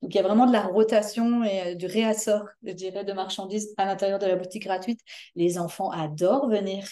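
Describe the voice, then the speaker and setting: A woman from France with a French accent, describing her community project in an interview, pausing often to find her words. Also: medium pitch, fairly even intonation, warm tone. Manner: thoughtful, frequent hesitations. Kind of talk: conversational interview.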